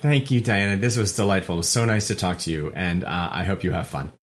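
Low-voiced chatty man over background noise. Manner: chatty, jokey, halting with repeats. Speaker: theatre director and actor, interview guest. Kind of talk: casual interview chat. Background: puppy moving about at home.

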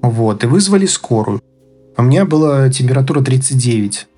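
Male interviewee, medium pitch, halting and groping for words in casual personal storytelling, music behind. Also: lively intonation, warm tone. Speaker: interviewee telling his own story. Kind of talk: casual spoken recollection. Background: background music.

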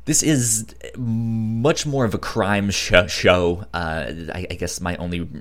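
A man's voice: lowish voice, steady tone, slow pace. A man chatting casually on a podcast, with a frequent stutter that repeats syllables and catches on words. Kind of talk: casual podcast chat, giving recommendations.

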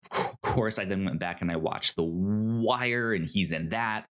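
A man co-hosting a podcast, chatting casually about television in a halting way, often repeating words and stammering over them. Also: lowish voice, a bit bright. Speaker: podcast co-host. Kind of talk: casual conversational TV show discussion.

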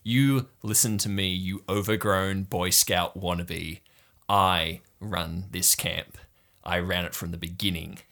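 A man's voice: low-pitched, steady tone, slow, creaky, bright, forceful tone, exaggerated voice. A man voicing a bossy, scheming young girl camper who gives menacing, clipped orders in a tabletop game. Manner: commanding, clipped, menacing insistence.

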